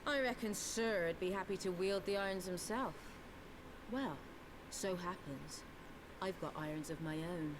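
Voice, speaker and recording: A woman's voice: fairly low pitch, a little slow, background noise, lightly acted. An actress playing a tough, wary, streetwise woman of the slums in a video game, blunt and folksy in her phrasing. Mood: defiant. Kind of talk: video game drama voice acting.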